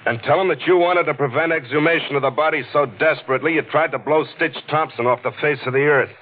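A man's voice: fairly high pitch, fast talker, slight background noise, natural voice. An American actor playing a tough, cynical newspaperman sleuth in a noir radio drama, with clipped, slangy, pressing delivery. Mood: commanding. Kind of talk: 1940s radio noir acting with narration. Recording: muffled old radio drama recording.

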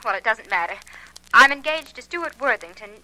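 An anxious young fiancée in a vintage radio mystery. Her voice is high and extremely bright, pressing her point with insistent, well-bred urgency. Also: expressive, a little fast, rough recording. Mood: urgent, worried. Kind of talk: 1940s radio mystery acting.

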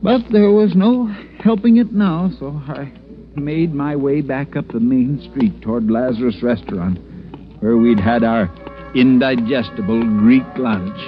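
A man acts as a timid, fussy American traveler in an old radio adventure play. He is middle-aged, prim and easily flustered, stammering as he tells his tale at an ordinary male pitch. The recording is dull and vintage, with music clearly behind him. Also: measured pace, natural voice. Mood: uneasy, prim. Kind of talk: 1940s radio drama acting, first-person narration.

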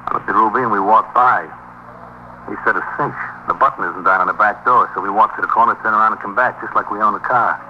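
Fast-talking New petty crook, played by an actor. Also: male voice, on the low side, close to an everyday voice. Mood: glib, explaining. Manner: streetwise, slangy, glib. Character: wisecracking petty car thief under police questioning, evasive. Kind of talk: 1950s radio drama acting. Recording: muffled, noisy 1950s radio recording.

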